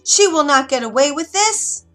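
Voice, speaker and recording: A woman's voice: high voice, expressive, moderate pace, faint music behind, voice pushed up. A woman voicing a wicked, commanding, hot-tempered queen in a children's fairytale. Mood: furious. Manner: imperious, loud.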